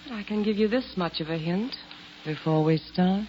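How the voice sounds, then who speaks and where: An actress playing a bold, taunting nightclub singer in a 1940s radio melodrama, with a challenging, dramatic delivery. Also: female voice, lowish voice, normal speed, natural voice. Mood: teasing. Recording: dull vintage radio recording with noise.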